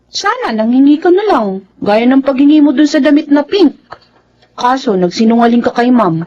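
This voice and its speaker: A woman's voice: sits high, expressive, moderate pace, dark tone, dull audio. A woman playing an honest, timid housemaid in a Filipino radio drama, polite and hesitant, with a Filipino accent. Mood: earnest.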